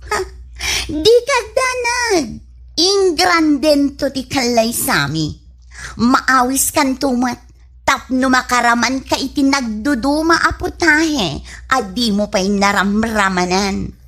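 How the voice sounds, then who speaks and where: Actress as whiny, boastful young Ilocano woman for comedy radio drama; very high, bright, drawn-out delivery. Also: exaggerated voice. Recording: music bed behind the voice.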